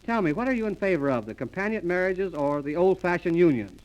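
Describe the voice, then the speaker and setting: Male radio comedy host, high-pitched, mock-formal, on an old 1930s transcription disc. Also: lively intonation, quick pace, darkish tone, dull audio. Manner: mock-formal announcer delivery.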